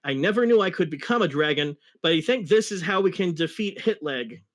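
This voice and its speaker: A man's voice: fairly high pitch, lively intonation, a little fast, close to an everyday voice. A man voicing a cocky, brash young cartoon hedgehog hero in a boastful, blunt way. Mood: earnest.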